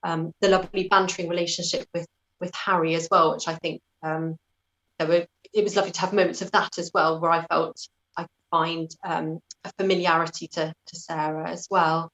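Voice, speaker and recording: A woman's voice: on the low side, level tone, fairly quick, fairly bright tone, rough recording. A British woman answering as an interview guest in a conversational, thoughtful, self-effacing way.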